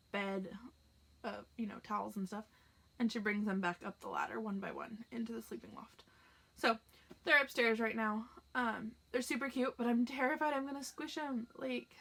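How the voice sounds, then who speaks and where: A woman's voice: mid-range voice, gravelly, fairly bright tone. A young woman hosting a knitting podcast, chatting casually and rambling with lots of fillers, sounding congested and nasal. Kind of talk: casual chat to camera. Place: tiny house, indoors.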